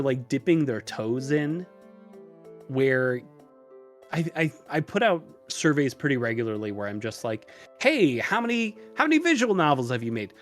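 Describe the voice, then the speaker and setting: A man talking casually as a podcast guest, explaining things in a loose, rambling way with hesitations. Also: mid-range voice. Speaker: podcast guest who runs a tutorial channel. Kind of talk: casual interview conversation. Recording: music mixed under the voice. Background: music.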